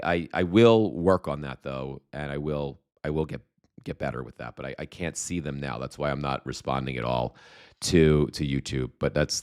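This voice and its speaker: Deep-voiced man with a slight cold. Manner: rambling, halting, many fillers and restarts. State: slight cold, losing his voice. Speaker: livestream host. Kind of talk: casual live-stream chat reading comments.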